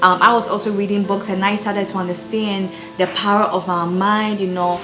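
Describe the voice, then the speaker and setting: A woman's voice: medium pitch, level tone, normal speed. A young woman with a Ghanaian accent giving an earnest, encouraging personal faith testimony. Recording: echoey room with background music.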